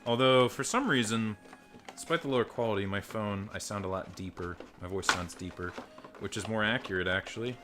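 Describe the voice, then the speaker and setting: Deep-voiced man rambling casually. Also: a little fast, very noisy. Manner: rambling, self-deprecating, swears casually. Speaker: toy reviewer. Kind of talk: casual unboxing chat. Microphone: desk microphone and phone mic.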